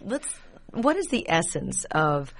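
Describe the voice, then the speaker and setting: A middle-aged woman hosting an interview, asking questions and chatting casually about her own family with soft laughs. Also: sits low, big pitch swings, slow, vocal fry, no room sound. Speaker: interviewer host.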